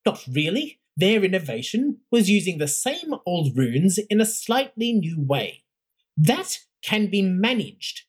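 A woman's voice: lowish voice, breathy edge, voice pushed up, exaggerated voice. A narrator voicing a stern, commanding woman who heads an imperial academy, speaking with cutting scorn.

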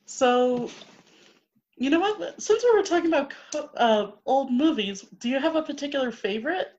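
A woman's voice: high-pitched, animated, normal speed, a little mellow. Eager woman podcast host, lo-fi sound. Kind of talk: casual interview chat. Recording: dull lo-fi audio.

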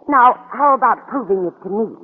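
A woman's voice: a little high, animated, normal speed, lightly acted. An actress playing a sweet, scatterbrained older landlady in an old radio sitcom, kindly and innocently literal. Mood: innocently puzzled. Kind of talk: 1940s-50s radio sitcom acting. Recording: noisy old radio broadcast, phone-like band.

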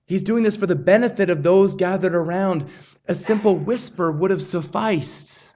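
High-voiced man preaching a sermon. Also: dark tone. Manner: earnest, measured preaching with frequent restarts. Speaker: pastor.

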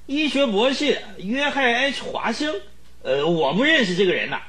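A man's voice: very high-pitched, sing-song, moderate pace, a bit bright, heavily acted. An actor playing a haughty, stubborn eccentric in a radio drama, pompous and scornful, hemming as he talks. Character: haughty, stubborn, eccentric rich man, dismissive of callers. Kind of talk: Mandarin radio drama acting.

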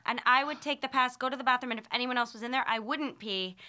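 A young woman from Staten Island, chatting casually and giggling, quick to exclaim in shock. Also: a little high, bright, forceful tone, slight background noise. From Staten Island, New York. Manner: giggly, exclaiming, easily scandalized. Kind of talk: casual chat.

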